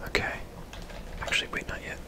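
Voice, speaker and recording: A person whispering casual, chatty ASMR commentary while playing a video game. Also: fairly quick.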